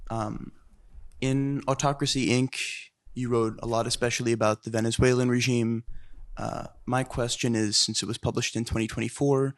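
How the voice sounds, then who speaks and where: A man's voice: mid-range voice, flat delivery, moderate pace. A young man, an undergraduate, politely asking a question from the audience. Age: young adult.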